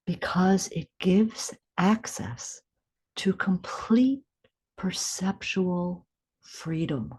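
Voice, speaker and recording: A woman guiding a meditation in a gentle, unhurried way, with deliberate pauses and a soft, breathy edge. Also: on the low side. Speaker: meditation guide. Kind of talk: guided meditation, scripted instruction.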